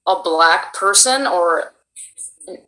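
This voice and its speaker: Cuban Miami woman, bright and animated. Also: on the low side, slow. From Miami, United States. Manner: animated and expressive, laughs often. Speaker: voice actress and creator. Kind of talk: casual interview chat. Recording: roomy echo.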